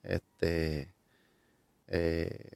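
A Puerto Rican man telling his life story as an interview guest, halting with many fillers and restarts. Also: low voice, flat delivery, vocal fry, darkish tone, clear, resonant tone, no echo. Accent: Puerto Rican Spanish. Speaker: guest giving a testimony. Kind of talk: hesitant conversational storytelling.

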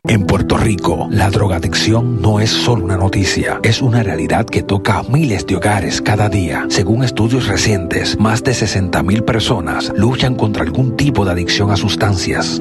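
A man reading scripted announcements in a smooth promotional delivery. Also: on the low side. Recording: voice-over over loud music.

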